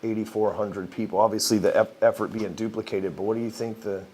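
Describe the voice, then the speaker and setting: Low-ish voiced dude hosting an interview, laid back, lots of "right" and "gotcha". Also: male voice, fairly even intonation, fairly quick, darkish tone, a little hiss or hum. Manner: casual, affirming, asks leading questions. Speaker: interview host. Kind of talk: conversational interviewing. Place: studio.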